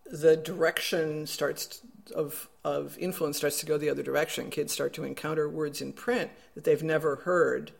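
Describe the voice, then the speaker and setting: A man's voice: fairly high pitch, steady tone, normal speed, vocal fry. A man being interviewed as an education expert, explaining in a thoughtful, self-correcting way. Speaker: education researcher guest. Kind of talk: interview answer.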